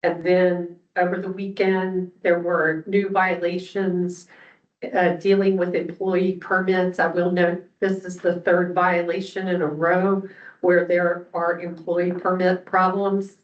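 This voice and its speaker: The sound is distant and roomy, from a public meeting. A woman commissioner speaks formally, slowly and with little change in pitch. Her delivery is halting and deliberate. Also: little pitch movement, highs cut off.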